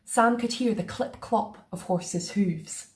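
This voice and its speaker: A woman reading a children's picture book aloud in a playful, expressive way. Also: medium pitch, slow pace. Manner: expressive, sing-song. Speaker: storyteller.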